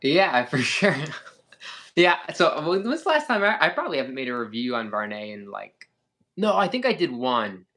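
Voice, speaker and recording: Man, animated pitch, bright tone, casual chat, hesitant, lots of restarts. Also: moderate pace. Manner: lively, hesitant with many fillers and restarts. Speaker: sunglass reviewer and co-host.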